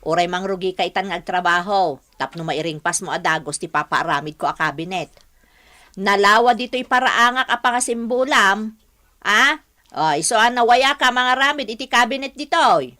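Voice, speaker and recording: A woman's voice: mid-range voice, animated, moderate pace, sharp tone. A woman acting in an Ilocano radio drama as a haughty, demanding homeowner with a Filipino accent, imperious and scolding. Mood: bossy. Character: haughty, demanding woman homeowner who scorns poor neighbors.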